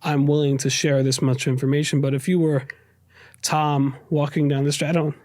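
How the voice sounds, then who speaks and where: A man's voice: on the high side, level tone, moderate pace, a little mellow, no room sound, studio quality. A man with a New York accent speaking earnestly and reflectively as an interview guest, often repeating and rephrasing as he goes. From raised in the United States, New York. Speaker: podcast guest. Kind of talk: reflective personal interview talk.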